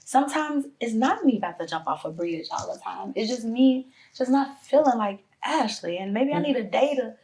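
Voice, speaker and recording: Woman panelist, casual talk, animated storyteller, quotes others. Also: a little high, moderate pace, few pauses, poor audio. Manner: animated, acts out other voices. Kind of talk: casual roundtable chat.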